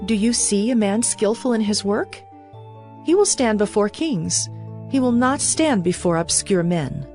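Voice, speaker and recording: A woman with a mid-pitched voice narrates scripture as a dramatized audiobook. Her delivery is measured and instructive, with music underneath. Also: lively intonation, a little mellow.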